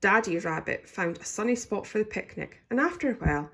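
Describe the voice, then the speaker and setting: A woman's voice: medium pitch, expressive, fairly quick, gravelly, a bit bright, slightly rough. A woman narrating a children's story expressively as a storybook reader.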